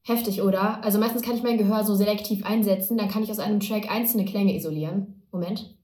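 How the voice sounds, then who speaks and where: Casting: woman playing chatty young female content creator, quick colloquial delivery, confiding, audio drama, roomy echoing sound. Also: medium pitch, fairly even intonation, mellow, close to an everyday voice. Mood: chatty, explaining. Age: young adult. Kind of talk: scripted social video monologue in audio drama. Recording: echoey, faint noise and clicks.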